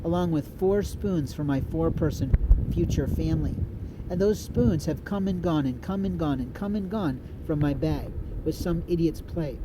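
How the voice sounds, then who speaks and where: An older man from the United States, a podcaster delivering a blunt, profane, repetitive rant. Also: fairly high pitch. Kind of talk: angry ranting monologue. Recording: noisy outdoor street recording.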